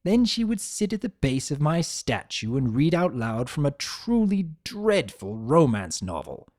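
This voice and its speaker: A man's voice: on the high side, big pitch swings, a little slow, a little mellow. A man reading fan fiction as a dramatic, scripted first-person narration.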